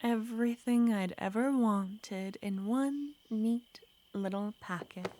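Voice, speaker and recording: Girl doing a clingy yandere witch, soft breathy whispers right in your ear, super slow and teasing. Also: medium pitch, slight background noise, close to an everyday voice. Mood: soothing whisper. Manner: slow, sweetly menacing, teasing. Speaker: ASMR roleplay performer. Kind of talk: ASMR roleplay.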